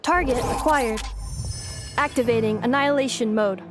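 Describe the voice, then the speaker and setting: A woman playing a polite, literal-minded robot helper in a video game, formal, clipped and deadpan. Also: on the high side, moderate pace, music in the background, natural voice. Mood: combat-focused.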